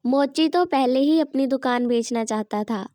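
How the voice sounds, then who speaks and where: A woman narrates a children's story from a script. Her voice sits a little high, and the sound is dry, with no room echo. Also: normal speed, mellow.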